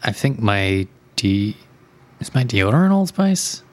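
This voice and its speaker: Man, low voice, podcast co-host, casual, laid-back, short replies. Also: sing-song, a little slow.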